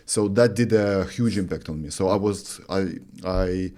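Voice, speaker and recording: Host, thirties, from Lithuania, Russian native; slightly low-voiced, earnest, halting phrasing, studio boom mic. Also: male voice, dark tone. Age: 30s. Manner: earnest, searching for words, many restarts. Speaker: podcast host. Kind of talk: interview. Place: studio with green curtain. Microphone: studio mic on boom arm.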